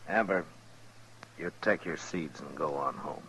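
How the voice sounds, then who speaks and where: An American actor playing a calm, fair frontier marshal, measured and plain-spoken, in 1950s radio western style. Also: male voice, a bass voice, slightly rough, exaggerated voice. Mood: firm. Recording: noisy old-time radio recording.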